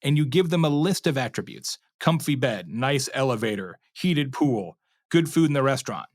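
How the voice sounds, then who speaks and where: A man's voice: mid-range voice, normal speed, no echo, clean studio sound. A man speaking as an expert interview guest, explaining conversationally and acting out imagined quotes as he goes. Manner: animated storyteller, acts out imagined customer quotes, checks in with "right?".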